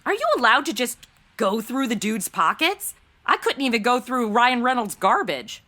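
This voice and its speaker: A brash modern young sidekick in a comedy audio drama. Her voice is bright and a little high, swinging widely as she gets flippant and melodramatic. She banters in slang with oblivious confidence. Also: expressive, moderate pace. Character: brash, clueless modern young woman, celebrity-obsessed comic sidekick.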